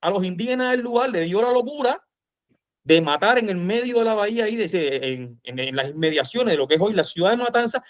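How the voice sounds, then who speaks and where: Emphatic Cuban man, higher-pitched voice. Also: animated, fairly quick. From Cuba. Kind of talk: animated informal history discussion.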